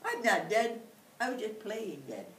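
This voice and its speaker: A woman voicing a cheerful young male possum with a bright, eager manner. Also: lowish voice, very animated, slow, a little hiss or hum. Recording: roomy, distant mic.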